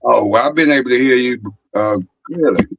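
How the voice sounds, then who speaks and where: A man hosting a casual online chat, speaking in a rambling, halting way with many fillers. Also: medium pitch, gravelly, a bit bright, slight background noise, rough recording. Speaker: host. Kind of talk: casual chat on a live stream.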